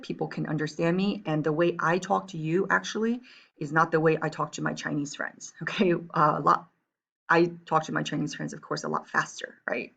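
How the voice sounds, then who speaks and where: Low gravelly woman teacher, lo-fi sound. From Taiwan. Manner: patient, reassuring, often checks with "okay?" and "right?". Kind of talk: recorded online lesson. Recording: dull lo-fi audio.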